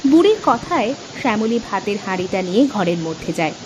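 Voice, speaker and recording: A woman narrates a cartoon story from a script. Her pitch is middling but swings widely from phrase to phrase. A faint noise sits behind the voice. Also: very animated, brisk.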